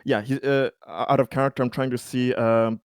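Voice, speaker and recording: A man playing a tabletop roleplaying game, improvising in character and out, with halting, stammering delivery. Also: medium pitch, level tone, smooth, no echo, studio quality.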